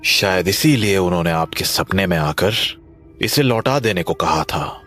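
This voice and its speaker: Man acting a calm, deep-voiced mystery solver. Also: exaggerated voice. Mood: calm reasoning. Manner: measured, explanatory. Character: calm, thoughtful young man working out a mystery. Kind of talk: animated horror story dialogue. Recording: faint music behind the voice.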